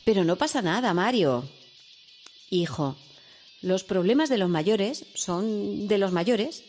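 An actress playing a caring, worried mother who speaks gently and soothingly to her son. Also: medium pitch, animated, slow, soft background music. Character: caring worried mother, middle-aged woman. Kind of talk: radio drama acting.

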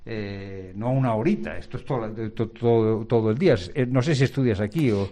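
Mellow-voiced male reporter asking questions. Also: fairly low pitch, brisk. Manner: chatty, probing questions. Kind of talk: on-location interview.

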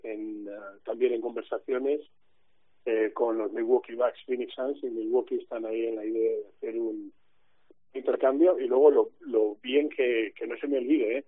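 A man's voice: mid-range voice, no room sound. A man discussing basketball casually as an analyst, heard over a telephone. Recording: dry, tinny telephone line.

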